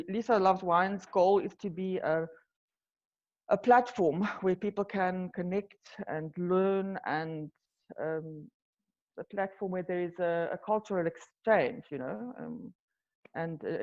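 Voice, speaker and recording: South african woman chatting in an interview, lots of ums, lowish voice, muffled call sound. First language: Afrikaans. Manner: hesitant, many ums and restarts, soft laughs. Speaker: wine tour company founder, guest. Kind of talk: casual interview answers.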